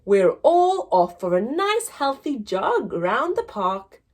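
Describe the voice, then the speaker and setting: A woman's voice: on the high side, very animated, slow, pitched above the performer's own voice, heavily acted. A woman voicing a bossy, determined mother elephant in a children's storybook, speaking sternly and emphatically. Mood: firm, bossy.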